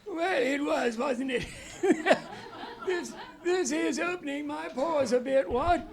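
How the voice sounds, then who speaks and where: A man's voice: very high voice, slow pace. A man acting a jovial, hearty old English uncle in a live radio play, chortling in an affected old-duffer way. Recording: live stage with organ music behind.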